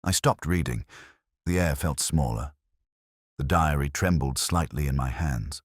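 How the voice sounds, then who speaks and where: A man narrating a first-person detective story in a measured, brooding, literary manner, as a world-weary investigator. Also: rumbling low, dry recording, clean studio sound. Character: world-weary, reflective male police detective. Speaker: audio drama narrator.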